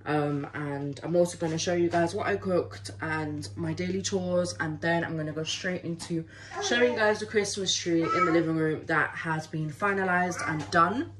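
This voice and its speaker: A woman's voice: on the low side, moderate pace. A young woman chatting to her phone camera while she does dishes in a small home kitchen. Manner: chatty, apologetic, talks while washing dishes. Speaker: vlogger. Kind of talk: casual chat to camera while doing chores. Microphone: phone camera propped on the counter. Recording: loud running water from the sink. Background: running water and kitchen clatter.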